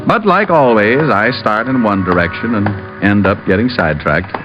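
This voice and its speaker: An actor playing a cool, wisecracking private detective in an old-time radio drama, with a wry delivery. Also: male voice, on the low side, lively intonation, moderate pace, natural voice. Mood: cool narration. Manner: wry, sardonic. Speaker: lead actor and narrator. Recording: muffled old radio recording, loud music.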